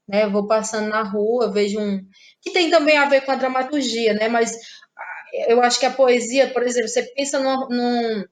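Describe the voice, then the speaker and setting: A woman with a Cearense accent from northeastern Brazil talks in a fairly high voice. She is a playwright and actress being interviewed, reflective and conversational, and she often ends phrases with a little tag seeking agreement. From Ceará, northeastern Brazil.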